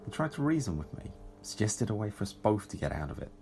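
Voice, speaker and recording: Male actor for a scheming Time Lord executioner: gravelly, menacing, widely swinging delivery. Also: lowish voice, big pitch swings, fast talker. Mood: sly, knowing. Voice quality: gravelly, creaky. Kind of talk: audio drama acting. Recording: clear music behind. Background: music.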